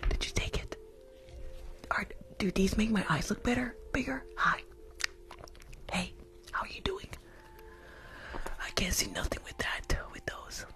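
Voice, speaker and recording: A young woman with a New York accent does an ASMR roleplay as a rude school nurse, speaking close to the microphone in a curt, sassy, dismissive way. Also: high voice. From Bronx, New York. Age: young adult. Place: small room at home. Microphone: close desk microphone with fluffy cover.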